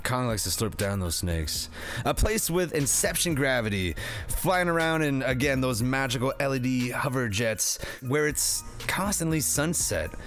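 A man's voice: medium pitch, big pitch swings, unhurried, fairly bright tone, faint music behind. A man hosting a comedy movie review, recapping in a slangy, sarcastic, profane style with jokey banter.

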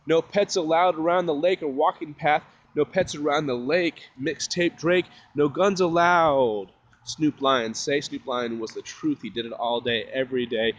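A man's voice: fairly high pitch, steady tone, normal speed, lo-fi sound. Rambling man freestyling on a walk. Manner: loose, rambling, breaks into rhythmic rap and laughs. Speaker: rapper and vlogger. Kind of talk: walking vlog with improvised freestyle rap. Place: outdoors at an RV park by a lake. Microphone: phone held at arm's length while walking.

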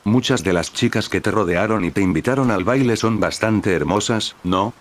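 A man's voice: lowish voice, moderate pace, lightly acted. A man reading the lines of a jealous, sulky young witch in a plain storybook voice.

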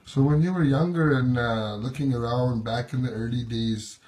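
A man's voice: mid-range voice, moderate pace, a little mellow, poor audio. A man in his forties interviewing an old friend, asking long, encouraging, rambling questions from off camera in a small room at home. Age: 40s. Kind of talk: casual interview.

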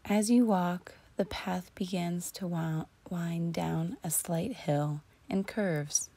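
A woman narrating a guided imagery relaxation in a soothing, deliberate, breathy voice that often sinks close to a whisper. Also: on the low side, dark tone. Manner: soothing, slow and deliberate with long pauses. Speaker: guided meditation host.

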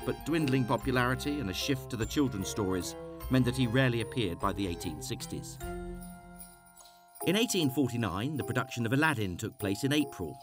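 A man reading scripted documentary narration. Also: mid-range voice. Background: music.

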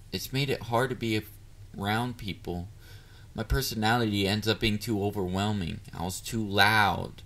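Man playing young catboy boyfriend, mid-pitched, unhurried, vulnerable, flustered, intimate audio roleplay. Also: a bit bright. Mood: confessional. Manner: hesitant, vulnerable, flustered, with stammers. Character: shy, clingy, excitable young male catboy boyfriend.

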